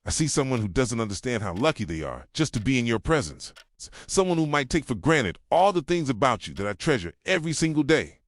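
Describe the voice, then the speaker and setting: A man playing a jealous, possessive yandere boyfriend in an intimate ASMR roleplay, speaking softly and tenderly and slipping into whispers. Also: big pitch swings, a little fast, no room sound, studio quality, close to an everyday voice. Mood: possessive, brooding. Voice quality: soft, low, slipping into whispers. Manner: tender, brooding, confessional.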